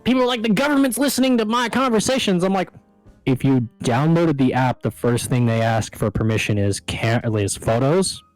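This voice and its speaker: A man's voice: a little high, animated, moderate pace, no room sound, soft background music, low-quality recording. A man chatting casually into a close microphone on a stream, informal and rambling, with some swearing. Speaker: co-host of a live stream chat show. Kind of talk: casual chat. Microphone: close desktop microphone over voice chat.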